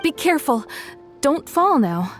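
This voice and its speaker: A gentle wife who keeps a flower shop, in a high voice. She is caring and polite in the manner of video game dialogue, with faint music beneath. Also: sing-song, unhurried. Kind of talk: English video game voice acting.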